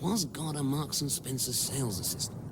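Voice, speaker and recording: An actor playing a brisk, sarcastic alien space traveller in a British comic radio drama. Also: male voice, medium pitch, natural voice. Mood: wry. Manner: excitable, sarcastic. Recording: noisy sound-effect backdrop.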